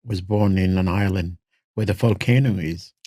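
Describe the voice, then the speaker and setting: A man, speaking softly and hesitantly as a podcast guest. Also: deep voice, husky, no room sound, studio quality. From Vanuatu. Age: 50s. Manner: soft-spoken, hesitant, with pauses and fillers. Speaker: interview guest.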